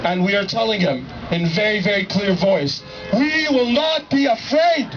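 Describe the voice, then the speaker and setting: A man's voice: sits high, animated, normal speed, over music. A young Syrian man with a Syrian accent gives an impassioned protest speech at an outdoor rally, speaking into a handheld microphone. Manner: impassioned, rousing, leading chants. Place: outdoor city plaza at a rally. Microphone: handheld microphone through a PA, recorded by a camera from a distance. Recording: distant, dull camera audio with street noise.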